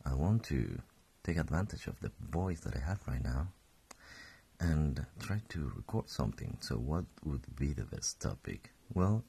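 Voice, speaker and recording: Gravelly deep-voiced young man, just woken. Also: very animated, measured pace, dark tone. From Colombia. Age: 20s. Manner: rambling, self-correcting, chuckling. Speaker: podcast host. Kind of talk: casual solo podcast.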